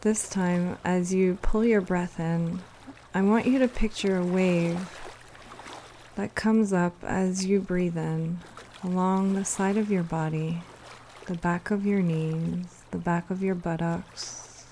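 A woman leading a guided meditation, giving soothing, unhurried instructions with pauses. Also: fairly low pitch, fairly even intonation, mellow, a little hiss or hum.

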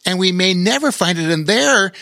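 A man voicing a young sailor in a children's story, who speaks fast and urgently. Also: high voice, animated, creaky, sharp tone, no echo, clean studio sound, pitched above the performer's own voice, full character voice. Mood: worried.

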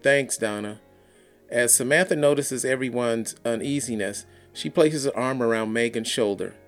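A man narrating an audiobook in a deliberate read-aloud, occasionally stumbling over words. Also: medium pitch, faint music behind. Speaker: audiobook reader.

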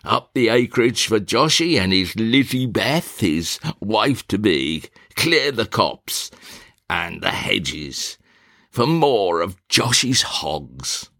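A man voicing a gruff, blustering older country squire who chuckles to himself, in a one-man reading of a stage play. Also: on the low side, big pitch swings, slow, sharp tone, no echo, studio quality, heavily acted.

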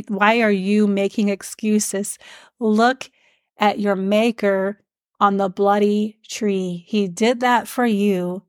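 A woman with a stuffed-up nose hosting a devotional hymn podcast, speaking in a gentle, teacherly way with frequent fillers. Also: medium pitch, no room sound, clean studio sound. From Iowa, USA. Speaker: podcast host.

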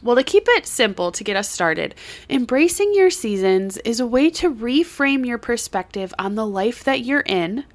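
Woman podcast host with a cold, a bit hoarse and stuffy, earnest and encouraging, voice sits a little high. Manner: earnest, encouraging, gently reassuring. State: has a cold, slightly hoarse and congested. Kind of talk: solo lifestyle podcast monologue. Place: home basement.

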